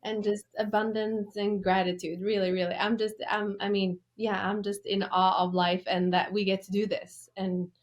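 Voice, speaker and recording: A woman's voice: mid-range voice, level tone, moderate pace, few pauses, dull audio. A woman from Norway, an author interviewed as a guest, telling her story with enthusiasm and loose fillers.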